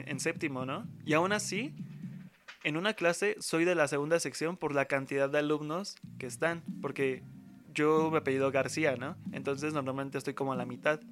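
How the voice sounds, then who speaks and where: Slangy young Mexican man, slightly high voice. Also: fairly bright tone. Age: young adult. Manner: slangy, rambling, acts out quoted speech. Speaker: university student. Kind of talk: casual conversation.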